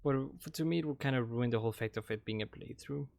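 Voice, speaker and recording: A man's voice: mid-range voice, fast talker, vocal fry, a little mellow. A young man with a Danish accent, rambling casually with lots of fillers as he wraps up a game playthrough for his channel. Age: young adult. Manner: rambling, hesitant, with many fillers and restarts. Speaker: gaming channel host. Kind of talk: casual commentary wrapping up a playthrough. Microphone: desk microphone.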